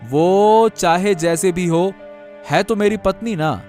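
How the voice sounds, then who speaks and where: A man's voice: high voice, slow, darkish tone, soft background music. A man voicing an earnest, devoted young husband in a cartoon, speaking emphatically and repeating himself. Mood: defiant, exasperated. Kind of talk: Hindi cartoon dialogue acting.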